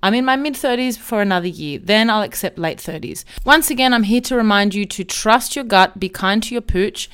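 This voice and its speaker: A woman's voice: mid-range voice, fairly quick, few pauses, a bit bright, smooth. A woman in her thirties hosting her own podcast, talking candidly and chattily about her life in a solo monologue. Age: 30s. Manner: candid, chatty, self-reflective. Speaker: podcast host.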